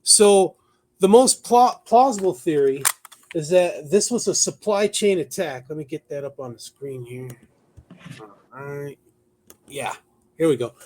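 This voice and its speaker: A man's voice: a little high, expressive, a little slow, pauses often, mellow, slight background noise. A man hosting a talk show, giving conversational news commentary partly read from notes, thinking aloud as he goes.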